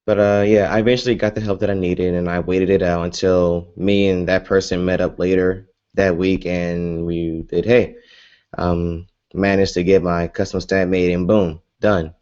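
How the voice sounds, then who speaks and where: Deep-voiced man rambling casually, muffled sound. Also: little pitch movement, normal speed, darkish tone, clean tone. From United States. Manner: rambling, many fillers and restarts, laughs often. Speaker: vlogger. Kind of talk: casual live Q&A chat.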